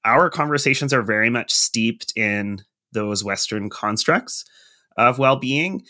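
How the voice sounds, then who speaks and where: A man hosts a podcast and poses long, carefully framed questions in a conversational manner. His voice sits at a middle pitch with a bright, forward edge. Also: animated, unhurried. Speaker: podcast host. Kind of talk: interview questions.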